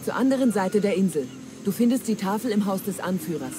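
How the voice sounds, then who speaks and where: Woman acting as wily female pirate, theatrical and coaxing, treasure hunter, game dub, medium pitch, clear background noise. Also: expressive, brisk, close to an everyday voice. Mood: hopeful. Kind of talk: dramatic German game dubbing.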